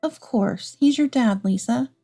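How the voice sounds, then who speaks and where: An earnest, wounded wife and mother, acted by a woman narrator, speaks pleadingly in a story drama. The voice is fairly high and soft-toned. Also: unhurried, faint music behind. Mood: soothing. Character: adult wife and mother, hurt but protective.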